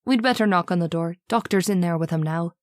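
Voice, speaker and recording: A woman's voice: on the low side, a little fast, close to an everyday voice. A woman playing a worried, deferential housekeeper in an old-time radio drama, with a fussing manner. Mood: fretful.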